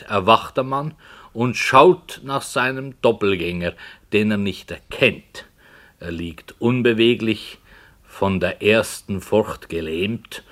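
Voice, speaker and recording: A man playing a brooding writer in a radio play, solemnly and hesitantly telling a dark tale with earnest, dramatic emphasis. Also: on the low side. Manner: slow, hesitant, earnest, dramatic swells and hushes. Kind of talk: 1960 German radio play, literary storytelling.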